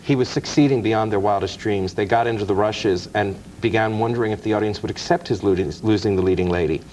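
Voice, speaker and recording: Knowledgeable male author, lowish voice. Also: quick pace, darkish tone, a little hiss or hum, poor audio. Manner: knowledgeable, explains at length. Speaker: author and guest. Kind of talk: interview answers.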